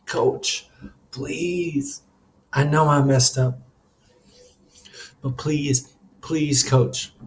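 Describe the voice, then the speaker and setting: A man's voice: a little high, animated, moderate pace, a little hiss or hum, voice pushed up, close to an everyday voice. A man voicing a distraught, defiant teenage boy in a story, pleading with a strained, breaking voice. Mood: desperate pleading.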